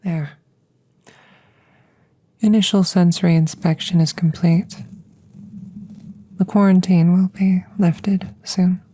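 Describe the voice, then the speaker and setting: A woman voicing a curious, calm, gentle female alien scientist in a measured, formal whisper for an ASMR roleplay. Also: fairly low pitch, slightly rough. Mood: calm, clinical. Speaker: audio roleplay voice performer. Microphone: close microphone.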